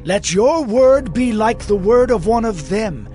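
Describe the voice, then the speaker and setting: A man's voice: sits high, lively intonation, a little slow, mellow, soft background music. A man playing an anxious, persuasive royal messenger in a dramatized audio Bible, urging and entreating. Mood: pleading.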